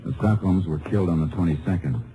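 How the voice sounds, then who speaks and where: Deep-voiced, laconic Texas Ranger, played by a man. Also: heavy background noise, lightly acted. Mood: businesslike. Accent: American. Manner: laconic, measured, deadpan. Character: calm, methodical male Texas Ranger investigating crimes. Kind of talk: 1950s radio drama acting with first-person narration. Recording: old radio recording with music.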